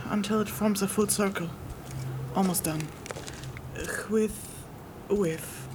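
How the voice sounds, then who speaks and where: A woman's voice: mid-range voice, steady tone, takes it slowly, natural voice. A woman playing a focused, curt mender of magic who negotiates with spirits, terse and a little hesitant in a naturalistic fantasy audio drama. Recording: clear noisy outdoor night ambience.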